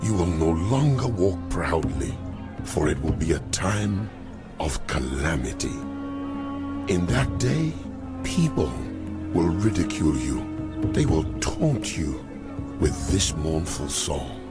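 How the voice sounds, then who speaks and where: A man voicing a stern, wrathful God in a solemn, deliberate dramatic scripture reading. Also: low-pitched, sing-song, full character voice. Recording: loud music behind the voice.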